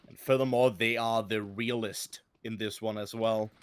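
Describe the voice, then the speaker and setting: A man giving casual esports color commentary with a non-English accent, often halting and repeating words. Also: on the low side, fairly even intonation, clean tone, no room sound.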